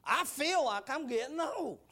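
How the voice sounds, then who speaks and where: A man in his sixties preaches in a strikingly high, swooping voice. He builds repeated lines into shouted bursts of praise, then falls back to quiet, folksy asides. He takes his time, stretching out the big words. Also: lively intonation, measured pace. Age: 60s. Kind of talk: sermon.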